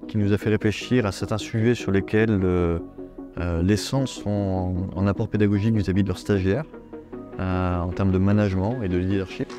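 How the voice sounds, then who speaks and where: A middle-aged man, a company president, speaking in a measured way with frequent hesitations in an interview. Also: sits low, fairly even intonation. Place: indoors with plants. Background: background music.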